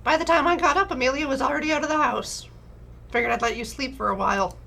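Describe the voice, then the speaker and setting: Woman acting out a breezy, high-voiced teasing gal pal. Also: fast, creaky, bright, forceful tone, full character voice. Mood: breezy, reassuring.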